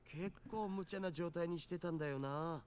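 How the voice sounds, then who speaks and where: Actor as fast-talking nerdy anime sidekick. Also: male voice, a little high, darkish tone, slight background noise, close to an everyday voice. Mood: matter-of-fact. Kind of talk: Japanese visual novel voice acting.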